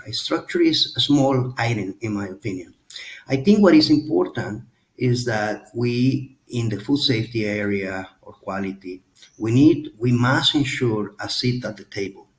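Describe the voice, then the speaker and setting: A middle-aged man answering as a panelist on a webinar, measured and thoughtful in his phrasing. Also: medium pitch.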